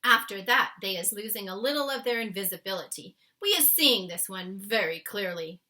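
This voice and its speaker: A woman voicing a big, kind male giant who mangles his words and exclaims loudly. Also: medium pitch, expressive, normal speed. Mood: patient.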